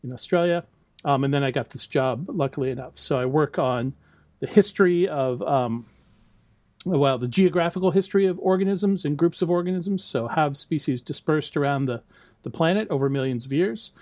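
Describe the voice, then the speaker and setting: A middle-aged American man, a biology lecturer, speaking as a podcast guest in a relaxed, explanatory way with frequent ums and some laughs. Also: fairly high pitch, normal speed, dry recording. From United States. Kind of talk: casual interview talk.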